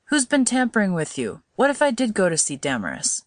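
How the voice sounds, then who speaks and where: A woman's voice: medium pitch, animated, normal speed, dry recording, studio quality, natural voice. A woman voicing an exasperated, straightforward young man in a storybook, reasoning earnestly and impatiently with his mother. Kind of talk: dramatised audiobook reading of old-fashioned fiction.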